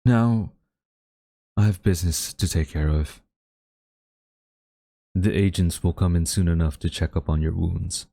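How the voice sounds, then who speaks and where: A very low, dark and mellow voice, recorded close and dry with no room sound. It is a haughty government official played by a man with a hidden tenderness. He speaks in formal, theatrical phrases, as in an intimate audio drama. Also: steady tone, gravelly, dry recording, heavily acted. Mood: flustered, defensive. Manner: formal, theatrical, deliberate wording. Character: haughty government official, male, secretly tender toward captured villain. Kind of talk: dramatic audio roleplay monologue addressed to listener.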